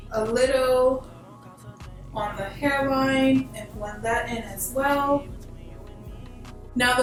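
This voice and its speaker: High-voiced woman in a very echoey room. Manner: informal, emphatic, peppered with like and literally. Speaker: beauty vlogger presenting a tutorial. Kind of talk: casual chatty hair tutorial. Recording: very echoey room, distant mic, faint music.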